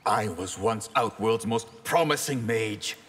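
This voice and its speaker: A proud sorcerer in a fighting game. His voice is lowish and very raspy, slow and portentous, set in a reverberant space. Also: fairly bright tone. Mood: ominous, proud. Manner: portentous, formal. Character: proud, ominous hooded mage. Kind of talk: dramatic fighting game dialogue. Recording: reverberant hall-like game mix.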